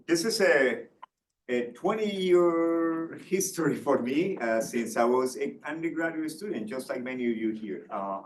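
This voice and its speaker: A man's voice: mid-range voice, roomy sound, recorded from a distance, a little hiss or hum. A man from Colombia giving a university seminar lecture, hesitant with many fillers and restarts. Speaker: guest lecturer. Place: lecture room.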